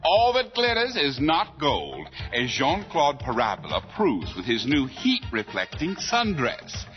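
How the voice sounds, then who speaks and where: A man's voice: mid-range voice, huge pitch swings, unhurried. A catty, flamboyant fashion correspondent in a comic TV report. His mid-range voice swoops theatrically, arch and drawn out, with music underneath. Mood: arch, effusive. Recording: slightly dull, music behind.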